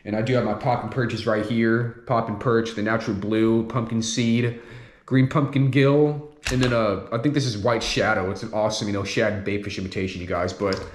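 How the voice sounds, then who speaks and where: A man's voice: on the low side, fairly even intonation, moderate pace, a little hiss or hum. A young man hosting a casual fishing vlog at home, speaking to a camera on his desk and rambling as he fills his talk with "you guys" and "you know.". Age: young adult. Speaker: fishing channel host. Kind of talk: casual lure review vlog. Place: home room with large window, indoors. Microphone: camera on desk facing him. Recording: echoey room.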